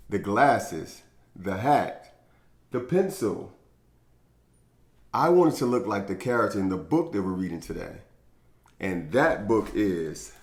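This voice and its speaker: A man hosting a children's storytime, speaking to young listeners in an encouraging, expressive way as he chats and reads aloud. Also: on the low side. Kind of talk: children's read-aloud and upbeat chat to young viewers.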